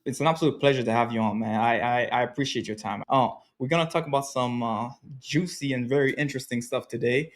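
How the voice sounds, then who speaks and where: A man's voice: mid-range voice, steady tone, quick pace, hardly any pauses. A man in his thirties hosting a podcast, chatting casually and laughing easily. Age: 30s. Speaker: podcast host.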